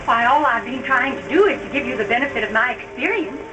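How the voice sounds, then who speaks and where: Actress as a high-voiced, opinionated older mother-in-law. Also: expressive, fast talker, recorded from a distance, over music, lightly acted, rough recording. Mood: affronted, defensive. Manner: bossy, self-righteous. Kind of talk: 1930s-style radio drama acting.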